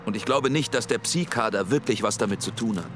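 An actor playing a German counter-espionage official in a radio drama, talking in a confiding, guarded, low-key way. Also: male voice, quick pace, music in the background, lightly acted.

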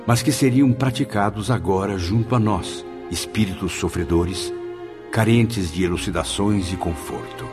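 An actor playing an earnest, respectful spirit pupil who speaks courteously and expressively in a radio drama. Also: male voice, sits low. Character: earnest, respectful male spirit pupil recounting his afterlife. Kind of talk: radio drama acting and narration. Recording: music behind the voice.

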